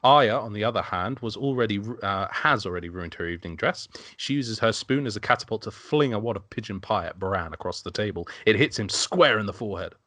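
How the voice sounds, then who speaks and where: A man's voice: on the low side, fairly quick, hardly any pauses, fairly bright tone, dry recording. A man narrating stage directions from a script at an amateur table read.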